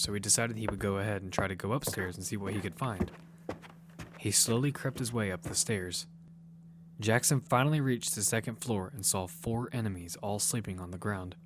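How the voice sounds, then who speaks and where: A man's voice: lowish voice, slight background noise. A man giving scripted narration for a piece of audio fiction. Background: music.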